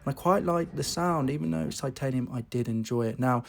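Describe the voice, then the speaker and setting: A man with a croaky voice from a cold, hosting a casual golf equipment review, chatty and reading out figures with lots of fillers. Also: medium pitch, fairly quick, slight background noise. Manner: chatty, lots of "you know" and "um", reads out numbers. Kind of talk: casual product review talk.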